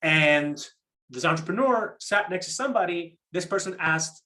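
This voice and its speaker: Higher-voiced man presenting a conversational workshop. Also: expressive, pushed and bright. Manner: halting, often repeats words and restarts; conversational, seeks agreement with tag questions. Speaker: program manager presenting a workshop. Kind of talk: interactive online workshop talk on pitching.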